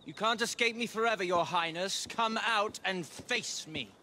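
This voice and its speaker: An actor playing a contemptuous, menacing British imperial villain in a game cutscene, sneering and theatrical. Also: male voice, high-pitched, moderate pace, pushed and bright, a little hiss or hum. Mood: taunting. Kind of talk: video game cutscene acting.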